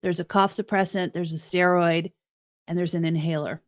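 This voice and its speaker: Blunt, lower-voiced woman with bronchitis. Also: fairly even intonation, moderate pace. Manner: dry, blunt, profane quips. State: has bronchitis. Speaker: podcast co-host. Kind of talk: casual banter.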